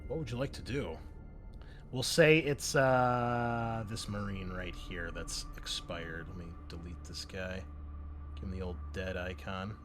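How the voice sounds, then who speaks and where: A man acting as game master, narrating a tabletop role-playing game in a casual style with deliberate dramatic pauses. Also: low voice, fairly even intonation. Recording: music playing behind the voice. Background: music.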